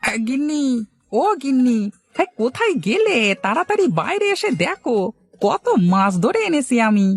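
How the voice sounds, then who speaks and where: A woman voicing an excitable, anxious but eager village farmer husband in a cartoon story, exclaiming and repeating words. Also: high voice, normal speed, dry recording. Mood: excited. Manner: exclamatory, lamenting and calling out in repeats.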